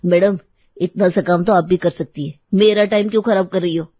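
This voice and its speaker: A woman's voice: lowish voice, fairly even intonation, normal speed, dry recording, dull audio, natural voice. A woman voicing a humble, aggrieved male vegetable seller in a story, with a plain-spoken hawker's manner.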